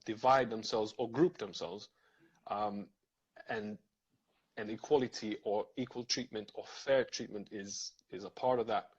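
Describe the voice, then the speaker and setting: A man talks through ideas in a casual live chat, pausing on fillers and circling back on his words. His voice is a touch husky, and the stream audio sounds dull and lo-fi. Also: on the low side. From Serbia. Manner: thoughtful, many fillers and restarts.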